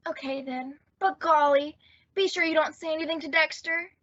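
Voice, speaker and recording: A woman's voice: high voice, fairly even intonation, normal speed, a bit bright, slight background noise. A woman playing a sweet, naive teenage daughter in an old-time radio sitcom, with an earnest, girlish, pleading manner. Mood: reluctant. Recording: dull, lo-fi audio.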